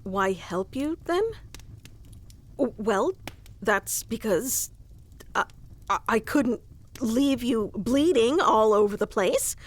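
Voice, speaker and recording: A woman's voice: fairly high pitch, expressive, slow pace, long pauses. A woman playing a haughty, easily flustered young female elf guarding her forest in a scripted fantasy roleplay, with prickly, old-fashioned wording. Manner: prickly tsundere, archaic wording, stammers when flustered.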